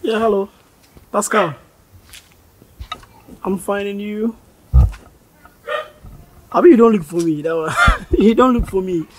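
A man's voice: high voice, measured pace, pauses often, background noise. An actor playing an easygoing young man who talks casually with a friend. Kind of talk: scripted skit acting.

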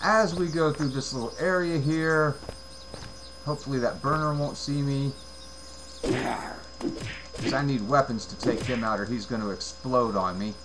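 A man in his late forties from the United States, talking through a video game in a rambling, halting way, with many fillers and repeated words, on a headset mic in a room at home. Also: medium pitch, background noise. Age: 40s. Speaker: let's play gamer. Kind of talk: casual gameplay commentary.

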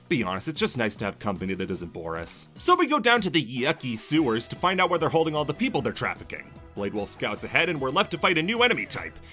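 A man's voice: on the high side, pushed and bright. A man narrating a scripted comedic video game review, full of sarcastic jokey asides.

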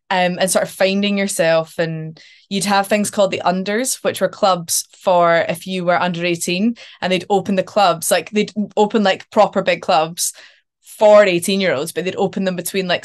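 A young woman with a Glaswegian Scottish accent, an actor guest talking in a casual interview over a video call from her couch at home. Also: mid-range voice. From Scotland. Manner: chatty and animated, with many ums and likes. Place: home living room, sitting on a couch.

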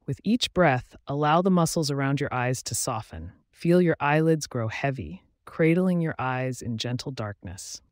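A man guiding a body scan meditation, reading a script in a slow, soothing, even way with pauses. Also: mid-range voice, no echo, clean studio sound. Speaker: meditation guide.

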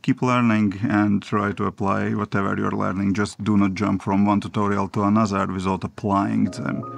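A man in his forties with a Latvian accent, answering questions casually in a matter-of-fact way with halting non-native phrasing. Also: on the low side, level tone. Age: 40s. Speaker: vlogger and iOS developer answering viewer questions. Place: various travel locations, mostly outdoors, some stone halls and a cafe. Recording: clear ambient noise on location.

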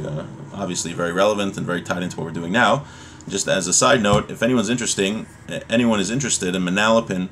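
Male religious teacher, thirties, slightly low voice, informal and halting explanatory delivery, home recording with faint noise. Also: a bit bright. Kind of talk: informal Torah lesson, reading a text and explaining it. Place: small home room, indoors.

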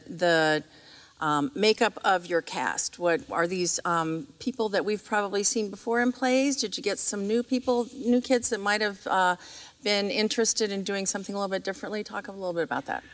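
A woman's voice: mid-range voice, normal speed. A middle-aged American woman asking questions in a conversational interview. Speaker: interviewer, school community relations coordinator. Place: seated on the stage of a theatre auditorium. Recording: reverberant empty auditorium.

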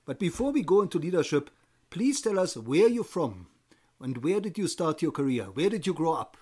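A man interviewing a guest, asking polite and measured questions. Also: on the high side, very animated, warm tone, breathy edge.

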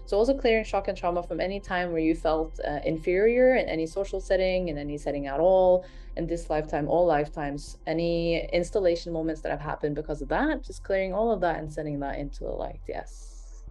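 A woman leading a guided healing session, speaking in a slow, soothing, repetitive way and murmuring soft affirmations. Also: lowish voice.

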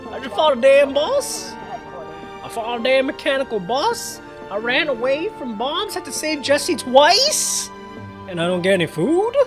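A man's voice: very high voice, sing-song, unhurried. A man giving jokey, profane let's play commentary, talking back to the game characters. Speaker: gamer. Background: game music.

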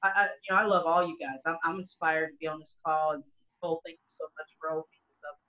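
A middle-aged woman, a country singer, talks on a video call from home. Her voice is bright and forward, and she rambles earnestly with many false starts. The laptop mic makes the sound dull and lo-fi. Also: low voice, fairly even intonation, fast. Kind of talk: casual video call discussion. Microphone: laptop webcam mic on a video call.

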